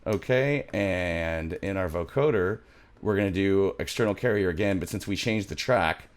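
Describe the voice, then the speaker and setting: A middle-aged man with a fairly low voice walks through music software as a tutorial host. He is informal and loose, thinking aloud as he works at a studio microphone in a small home room. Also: normal speed, clean tone.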